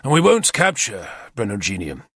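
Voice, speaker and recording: A man voicing a confident warlord commander who explains battle plans briskly and eagerly. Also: on the low side, huge pitch swings, a bit bright, no echo, studio quality, exaggerated voice. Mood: commanding. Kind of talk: audiobook character reading.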